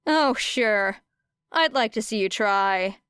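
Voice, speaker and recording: Defiant, snarky girl performed by a woman, goading and dry, crystal-clear tone, close dry audiobook recording. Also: mid-range voice, animated, slow pace, fairly bright tone, no echo. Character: cocky, sarcastic young girl, goading and defiant. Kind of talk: audiobook character dialogue.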